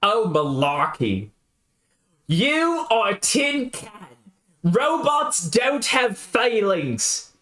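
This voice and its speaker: A man reading a story aloud and voicing a brash, excitable young male adventurer who talks loud and swaggering. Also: high voice, drawn-out words, pitched above the performer's own voice, exaggerated voice. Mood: scoffing.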